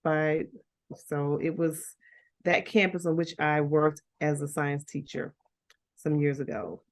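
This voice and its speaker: A woman's voice: low-pitched, steady tone, slow. A middle-aged woman from Liberia, a guest chatting casually and telling her life story with laughs and restarts. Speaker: guest, school founder. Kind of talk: casual chat.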